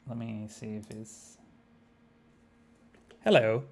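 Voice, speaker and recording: A man's voice: fairly low pitch, fairly even intonation, takes it slowly, vocal fry, darkish tone. A young man from Argentina with an Argentine Spanish accent, live-streaming a game and chatting casually with viewers, thinking aloud with many fillers. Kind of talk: live-streamed gameplay chat.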